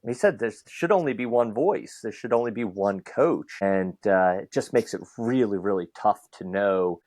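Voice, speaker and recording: A man's voice: mid-range voice, animated, moderate pace, a little mellow, dry recording, clean studio sound. A man hosting a podcast, talking conversationally and building long, reflective questions. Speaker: podcast host. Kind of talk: conversational interview.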